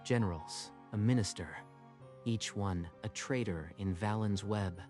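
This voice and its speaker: A male narrator with a fairly low voice tells a dramatic scripted story. Music plays clearly behind his narration. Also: unhurried. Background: background music.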